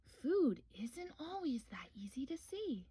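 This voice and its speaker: Storybook granny bear played by a woman: patient, kindly, encouraging, slow delivery, dry sound. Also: high voice, very animated, no room sound, heavily acted. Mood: patient, teaching. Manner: gentle, encouraging, unhurried. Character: kindly, patient grandmother bear who teaches gently. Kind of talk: children's storybook read-aloud.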